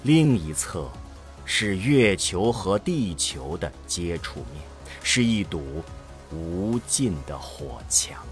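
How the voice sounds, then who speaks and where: A man with a low voice narrates a science fiction audio drama. His delivery is slow and solemn, with pauses set for effect. The pitch swings widely from phrase to phrase, giving the storytelling a theatrical sweep. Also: very animated, faint music behind.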